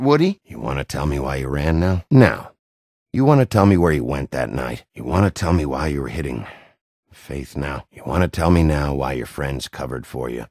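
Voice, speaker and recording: An actor playing a gruff, hot-tempered werewolf detective in a video game, a middle-aged man with a gravelly, husky, blunt, intimidating delivery. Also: extremely low pitch, darkish tone, no room sound, clean studio sound, full character voice. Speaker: interrogating lawman.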